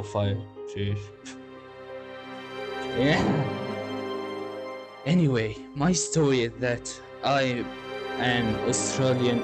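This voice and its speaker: A man voicing a whiny, bossy young hedgehog hero in a halting, rambling comic game dub. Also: medium pitch, natural voice. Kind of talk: improvised comedic video game fandub. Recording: music under the voice. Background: music.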